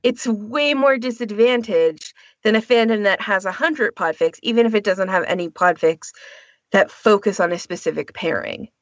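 A woman's voice: medium pitch, moderate pace, dry recording. A woman co-hosting a podcast, talking casually in a discussion. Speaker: podcast co-host. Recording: dull, lo-fi audio.

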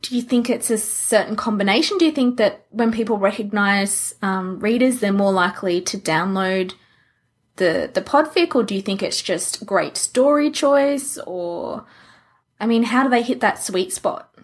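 A woman's voice: mid-range voice, expressive, moderate pace, breathy edge. A woman co-hosting a podcast, chatting casually and posing questions in a thoughtful, tentative way. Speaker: podcast co-host.

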